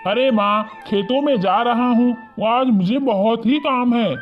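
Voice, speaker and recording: A man playing an earnest, respectful young poor farmer in an animated moral story. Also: extremely high pitch, measured pace. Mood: earnest, determined. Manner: respectful, pleading. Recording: muffled lo-fi audio under loud music.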